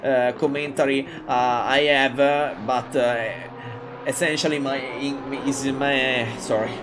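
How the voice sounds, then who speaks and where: A man from Italy with an Italian accent, calling a live sim race in halting English with many fillers and excited jumps. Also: medium pitch, noisy background. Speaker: race commentator. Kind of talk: live sim racing commentary.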